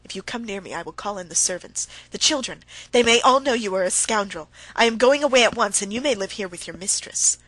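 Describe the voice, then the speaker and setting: A woman's voice: mid-range voice, moderate pace, fairly bright tone, breathy edge, no room sound, low-quality recording. A woman voicing an anguished, betrayed wife who cries out in rising, heated protest. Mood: furious.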